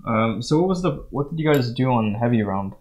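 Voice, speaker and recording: Male streamer, mid-pitched, casually coaching his team through a shooter, with noisy game sound. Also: lively intonation, brisk, a little mellow, lo-fi sound. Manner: instructive, coaching teammates. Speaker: gamer, streamer and team shot-caller. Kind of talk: casual tactical talk during online shooter gameplay. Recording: clearly noisy game stream audio, clicks.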